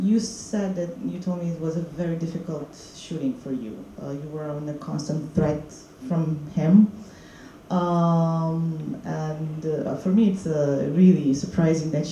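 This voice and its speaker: A woman's voice: low voice, warm tone. A woman moderating an on-stage talk after a screening, asking long, hesitant questions into a handheld mic. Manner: hesitant, many fillers and restarts. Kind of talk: stage Q&A interview. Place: stage of a screening hall, seated. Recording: muffled echoey hall, faint noise.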